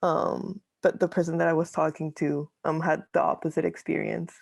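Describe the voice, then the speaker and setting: Gravelly woman with a light Mexican accent. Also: deep voice, level tone, no echo, clean studio sound. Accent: light Mexican Spanish. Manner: hesitant, halting. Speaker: student participant. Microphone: video call.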